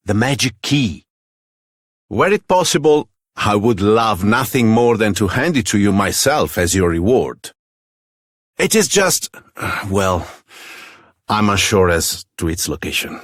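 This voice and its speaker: An actor playing a courteous military commander with an Italian accent in a video game, formal and theatrical. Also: male voice, lowish voice, fairly bright tone, dry recording, clean studio sound, exaggerated voice. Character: courteous, flustered military commander loyal to his king. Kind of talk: video game character acting.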